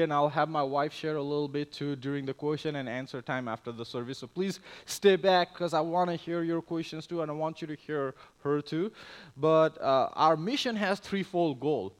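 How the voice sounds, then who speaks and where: Higher-pitched young man presenting to church. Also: smooth. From Nepal. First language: Nepali. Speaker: missionary and church planter. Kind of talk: church missions presentation with slides. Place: speaking live to a church congregation.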